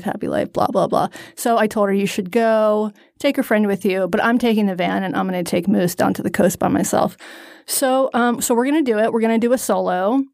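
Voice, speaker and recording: A woman in her thirties talks loosely on her podcast. She is candid and self-deprecating and wanders into asides. She sounds close and dry on the mic, at a middle pitch. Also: darkish tone, dry recording. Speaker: podcast co-host. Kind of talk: casual chat.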